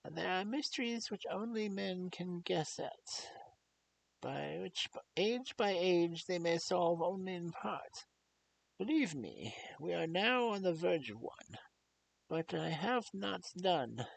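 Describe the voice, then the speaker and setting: A reader voicing a grave, stern old male doctor in an audiobook, speaking slowly and earnestly. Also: mid-range voice, animated, gravelly, a little hiss or hum, voice pushed up, rough recording. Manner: slow, deliberate, earnest pleading. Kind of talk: audiobook character reading.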